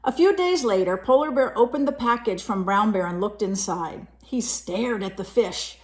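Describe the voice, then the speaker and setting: A woman reading a picture book aloud as a storyteller, animated and pausing to explain things and put questions to young listeners. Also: medium pitch. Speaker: storyteller, teacher reading to children.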